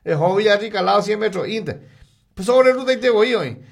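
A man with a Paraguayan accent who drops into Guarani, reading local ads on the radio in a rapid sing-song, listing goods and phone numbers. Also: animated, gravelly, slightly rough. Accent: Paraguayan Spanish, mixing in Guarani. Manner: rapid, sing-song pitch and loudness swings, listing items.

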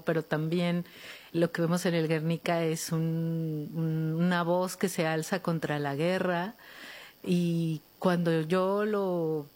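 Reflective Mexican woman, low voice. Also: a little mellow. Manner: reflective, with frequent restarts and pauses. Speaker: author guest. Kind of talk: thoughtful interview conversation. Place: art museum in Madrid.

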